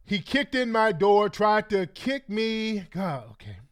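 A man's voice: high-pitched, animated, slow pace, clean tone, dry recording, faint music behind. A congested man ranting bluntly and profanely to his viewers in an exasperated commentary. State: congested from a cold. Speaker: online commentator.

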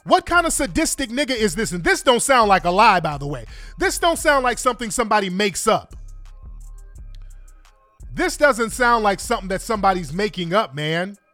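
A man's voice: high voice, big pitch swings, moderate pace, bright, forceful tone, no room sound, faint music behind. A congested man ranting bluntly and profanely to his viewers in an exasperated commentary. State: congested from a cold. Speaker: online commentator.

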